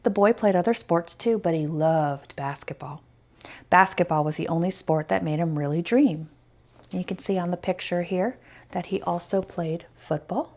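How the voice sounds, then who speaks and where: An American woman teaching through a children's story read-aloud, pausing to explain and stress key points. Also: fairly low pitch, darkish tone, a little hiss or hum.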